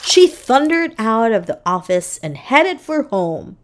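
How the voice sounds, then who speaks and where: Very slow, animated woman storyteller. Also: on the high side, noisy background. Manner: dramatic, deliberate phrasing. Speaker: storybook narrator. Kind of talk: expressive children's read-aloud narration.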